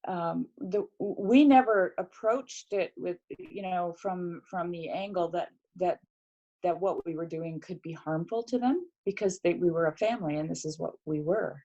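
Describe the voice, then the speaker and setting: Woman, middle-aged, casual talk, hesitant, lots of fillers, lowish mellow voice. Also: moderate pace, highs cut off. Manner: reflective, hesitant, many fillers and restarts. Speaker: podcast guest. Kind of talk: casual conversation.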